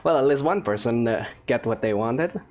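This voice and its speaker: Animated male let's-play commentator. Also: medium pitch, normal speed. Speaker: gaming streamer.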